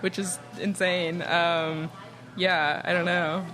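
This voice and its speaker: A young woman with a deep voice, a guest musician who answers hesitantly and laughs often. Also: level tone, slow pace, crowd noise. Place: bar during happy hour.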